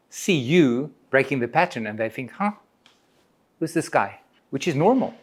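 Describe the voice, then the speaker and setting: A man coaching a group in a Q&A, reassuring and often checking that he is understood. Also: mid-range voice. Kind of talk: answering questions in a workshop Q&A.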